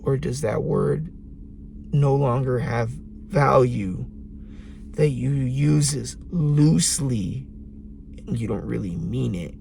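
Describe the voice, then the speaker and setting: A man with a very gravelly, raspy voice talks slowly and deliberately, leaning on long pauses. He speaks from a parked car at night, with a faint hum of noise behind him. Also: medium pitch, dark tone. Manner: slow, deliberate, emphatic pauses. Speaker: vlogger. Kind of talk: reflective late-night monologue.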